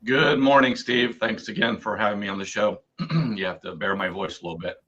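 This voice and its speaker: Hoarse man explaining earnestly. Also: lowish voice, steady tone, a little fast, pushed and bright, dull audio. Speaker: insurance agent guest. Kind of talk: radio interview.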